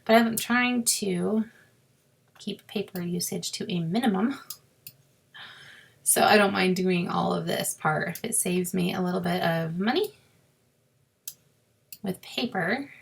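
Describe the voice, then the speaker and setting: A woman with a sore, scratchy throat, explaining a crafting software task step by step in a chatty way and muttering to herself as she clicks. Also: lowish voice, a little slow, long pauses. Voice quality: raspy with creaky fry. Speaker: crafting tutorial host. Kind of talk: screen-recorded software walkthrough.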